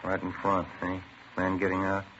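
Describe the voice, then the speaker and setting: A man's voice: sits low, monotone, moderate pace, a bit bright. A man playing a plain-spoken, watchful police detective partner in a 1950s radio drama, clipped and businesslike. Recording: muffled, noisy vintage radio recording.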